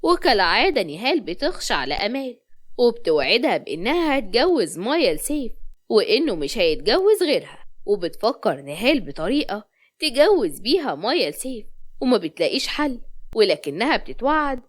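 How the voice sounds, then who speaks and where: A woman's voice: on the high side, big pitch swings, fairly quick. A woman with an Egyptian accent narrating a scripted dramatic story as a storyteller.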